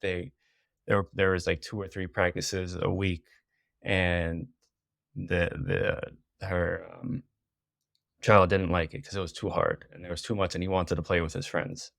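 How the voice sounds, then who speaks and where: Very deep, gravelly-voiced teacher. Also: male voice, flat delivery, measured pace, fairly bright tone, no echo, studio quality. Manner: hesitant, repeats words, many "you know"s. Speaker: teacher, podcast guest. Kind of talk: casual podcast conversation.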